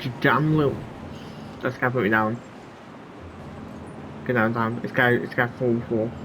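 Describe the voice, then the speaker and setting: A man's voice: mid-range voice, steady tone, measured pace, noisy background. A man doing casual gameplay commentary, rambling and thinking aloud. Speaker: game streamer.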